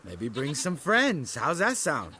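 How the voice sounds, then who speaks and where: Male, cocky video game monster hunter, sarcastic and goading, highish voice, very animated. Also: takes it slowly, slight background noise, heavily acted. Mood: drunken, rowdy. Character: brash, needling, drunken monster hunter, grown man.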